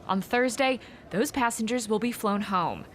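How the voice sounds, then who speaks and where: A woman's voice: fairly high pitch, moderate pace, a little hiss or hum. A woman reporter with an Australian accent narrating a scripted television news report.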